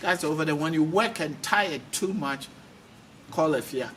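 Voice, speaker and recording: A man's voice: fairly high pitch, animated, a little slow, a bit bright. A man hosting a casual interview, encouraging his guest and often repeating his phrases. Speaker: interview host. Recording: distant mic, clearly noisy background.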